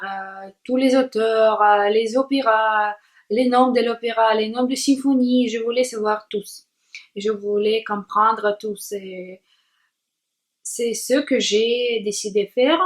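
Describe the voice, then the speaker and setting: Young woman, learner's vlog, slow and careful, slightly high voice. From Latvia. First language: Russian. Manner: hesitant, many filler sounds while searching for words; careful, deliberate language-learner delivery. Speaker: vlogger. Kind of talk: self-introduction vlog.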